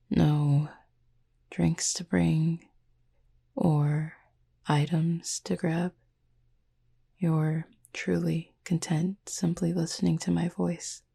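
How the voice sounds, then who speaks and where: A calm cupid roommate performed by a woman murmurs in a soft, mellow half-whisper full of heavy creak. The delivery is slow and formal, proud yet caring and gently teasing, made for a roleplay audio that lulls a listener to sleep. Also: low-pitched, heavily acted. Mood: attentive, soft. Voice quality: breathy, husky half-whisper.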